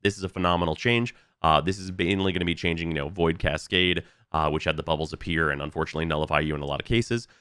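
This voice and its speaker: A man's voice: sits low, steady tone, quick pace, few pauses, fairly bright tone, clean tone, no echo, clean studio sound. A young man with a stuffy, nasal voice from a recent illness, casually talking through a game update with frequent fillers. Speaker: gaming video creator.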